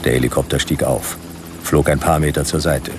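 An actor playing a laconic hardboiled detective who narrates a radio play in a dry, clipped, gravelly delivery. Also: male voice, a bass voice, fairly even intonation, normal speed, background noise. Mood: dry, suspenseful.

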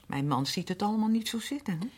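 A woman's voice: medium pitch, lively intonation, fast talker, darkish tone, breathy edge. An actress playing a chatty middle-aged working-class mother who rambles and defers to her husband, in radio drama. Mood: confiding. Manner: rambling, repetitive, seeking confirmation. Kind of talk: naturalistic Dutch radio drama acting.

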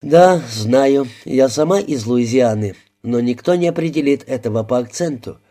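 Male reader doing a composed, politely teasing young woman in a storybook; mellow, dry irony. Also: mid-range voice, animated, normal speed, lightly acted. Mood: wry.

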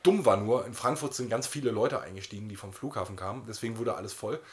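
Male podcast co-host, lowish voice, loose and wryly rambling chat. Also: fast, a little mellow. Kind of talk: casual banter.

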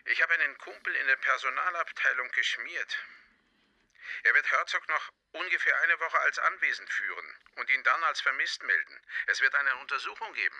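A man's voice: mid-range voice, lively intonation, moderate pace, pushed and bright, exaggerated voice. A man playing a wry, streetwise police insider with a terse, dry delivery in a German radio drama. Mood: wry, matter-of-fact.

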